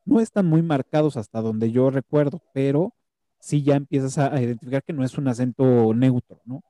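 A man with a very dark, mellow voice leads a relaxed film chat. He talks haltingly and keeps restarting his words. The sound is close and dry, with no room around it. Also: mid-range voice, no echo. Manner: hesitant, restarts words often. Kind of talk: casual film review chat.

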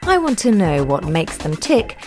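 A woman's voice: low-pitched, very animated, normal speed, darkish tone, soft background music. A woman hosting a podcast interview, asking questions in a chatty, encouraging way. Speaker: podcast host.

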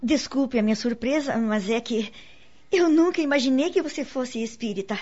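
A woman's voice: high-pitched, normal speed, dark tone. A woman with a Brazilian accent playing an anxious, insecure wife in a radio soap opera, earnest and formal in her melodramatic delivery. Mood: hesitant, curious. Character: anxious, insecure young wife and mother, pleading. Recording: dull lo-fi radio drama, music underneath.

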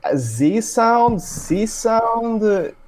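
Man, halting casual podcast chat. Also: high voice, animated, a little mellow. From Brazil. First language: Portuguese. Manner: halting, repeats words.

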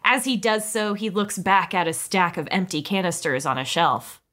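A woman podcast host narrating a comedy script in a lively way, with playful asides. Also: on the low side, normal speed.

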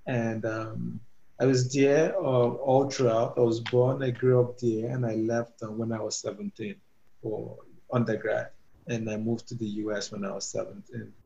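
A young man from Nigeria explains his work in a halting way, stopping often for ums and starting over. His voice sits at an ordinary pitch. It comes through with a roomy echo and a muffled, lo-fi tone. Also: level tone, vocal fry, mellow. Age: 20s. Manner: hesitant, many ums and restarts. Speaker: guest, physics PhD student and startup co-founder. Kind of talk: podcast interview.

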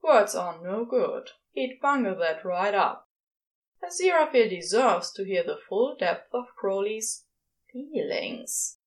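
A woman reading fiction aloud as expressive scripted narration, with dramatic pauses. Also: mid-range voice, rough recording. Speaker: podfic reader.

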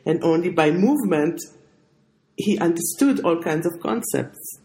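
A woman hosting a podcast, asking measured questions and sharing short responses. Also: fairly low pitch, animated, mellow, low-quality recording. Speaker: podcast host. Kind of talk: interviewing.

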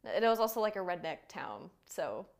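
A woman's voice: on the low side, very animated, normal speed, creaky. A woman in her twenties introducing herself and talking casually in an interview. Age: 20s.

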